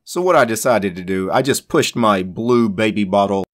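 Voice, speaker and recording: A man with a fairly low voice, speaking casually and taking his time. He stands back from the microphone, so the voice comes out bright and a little tinny. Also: normal speed. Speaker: tutorial host in an audio sample. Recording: thin sound, speaking a foot from the mic.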